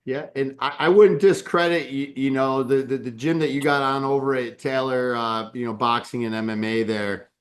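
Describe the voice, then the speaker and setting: A man hosts a podcast with a mid-pitched voice. He asks long, excited questions and keeps tripping over his words and repeating himself as he builds up the guest. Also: normal speed, hardly any pauses. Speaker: podcast host. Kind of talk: casual podcast interview.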